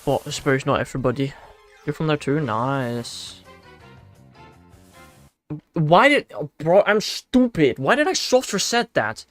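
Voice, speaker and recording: A man's voice: fairly high pitch, lively intonation, measured pace, long pauses, fairly bright tone, faint music behind. A young man from the Netherlands with a Dutch accent, chatting casually and slangily with his chat while streaming a game. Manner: laid-back and slangy, talks to himself. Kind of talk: casual livestream chat, answering chat while playing.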